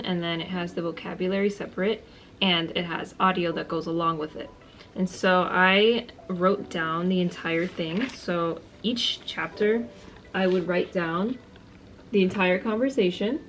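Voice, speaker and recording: Earnest young woman vlogging, soft music behind. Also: fairly low pitch, low-quality recording. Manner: friendly, earnest, repeats words for emphasis. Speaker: language-learning vlogger. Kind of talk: casual talk to camera, giving tips.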